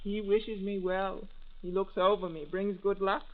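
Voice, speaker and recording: A woman's voice: mid-range voice, level tone, a little slow, close to an everyday voice. Dreamy young woman acted by an actress, earnest, trusting, girlish, old radio drama, narrow tinny sound. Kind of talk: 1940s radio drama acting. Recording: thin, band-limited old radio recording.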